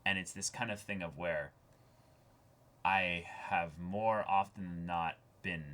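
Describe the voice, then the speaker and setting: A man's voice: low voice, fairly even intonation, slow, a bit bright. A man co-hosting an anime discussion in a casual, thoughtful way, often feeling his way through a sentence. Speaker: co-host of an anime reaction show.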